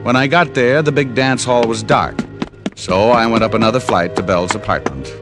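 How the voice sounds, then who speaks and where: An actor playing a wry, cool-headed private detective in hardboiled old radio drama style. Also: male voice, mid-range voice, normal speed, natural voice. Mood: grim, tense. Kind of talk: 1940s-50s radio acting, first-person narration. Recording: old-time radio recording with music.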